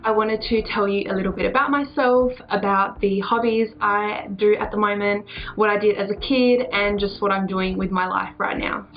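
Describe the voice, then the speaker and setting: A woman's voice: medium pitch, fairly even intonation, normal speed, lo-fi sound, faint music behind. A woman in her early twenties with an Australian accent, a native Serbo-Croatian speaker, chatting casually to camera as a vlogger. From Adelaide, Australia. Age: early 20s. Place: small furnished room at home, indoors.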